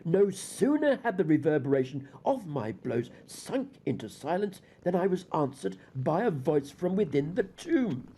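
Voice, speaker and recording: A man's voice: on the high side, sing-song, unhurried, hardly any pauses, mellow, breathy edge, voice pushed up, full character voice. Man acting a wildly swinging gothic madman confessing murder. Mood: frenzied horror. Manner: theatrical, formal, dramatic emphasis. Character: deranged, guilt-haunted male murderer confessing, swinging from dread to frenzy. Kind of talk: dramatic gothic audiobook reading.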